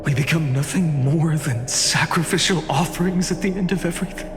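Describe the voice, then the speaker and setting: An actor voicing a grave, weary male companion in a game cutscene, speaking quietly and measured. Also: a little high, long reverb tail, music in the background, close to an everyday voice. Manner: quiet, measured, half-whispered delivery.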